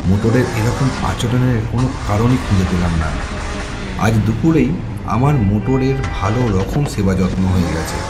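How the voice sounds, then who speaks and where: A man narrating a horror story in the first person, with theatrical, suspenseful delivery and dramatic pauses. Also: medium pitch, low-quality recording.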